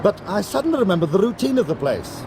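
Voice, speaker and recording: An actor playing a wry, cool-headed criminal courier in a radio drama, speaking in a conversational way. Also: male voice, high voice, very animated, fast, background noise. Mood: intrigued, wry. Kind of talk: radio drama acting, anecdotal dialogue.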